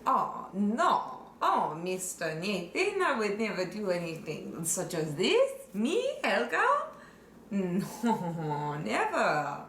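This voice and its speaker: A woman's voice: medium pitch, huge pitch swings, slow pace, fairly bright tone, a little hiss or hum, heavily acted. A woman playing a scheming foreign caregiver, speaking broken English in a sly, sing-song way in an improvised comedy sketch. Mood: innocent. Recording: roomy echo.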